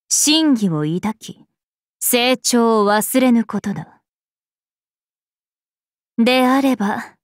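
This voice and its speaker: Slow, imperious princess spirit. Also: female voice, mid-range voice, dry recording, studio quality. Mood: stern, cold. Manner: imperious, archaic, composed, aloof. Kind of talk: Japanese mobile game character voice lines.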